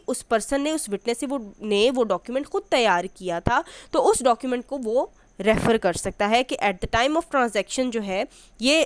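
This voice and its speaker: A woman with a Pakistani accent and a fairly high, very clear voice teaches in an explanatory way. She alternates between reading passages out and restating them in simpler terms, repeating key points. The sound is dry and close. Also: no echo. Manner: didactic, rephrases and repeats points. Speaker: law lecturer. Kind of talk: explanatory lecture mixing read-out passages with explanation.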